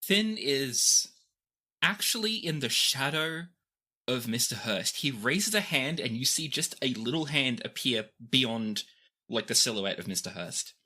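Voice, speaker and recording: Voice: mid-range voice, lively intonation, a little slow, pushed and bright. A game master improvising narration for a tabletop game in a playful, descriptive storytelling way.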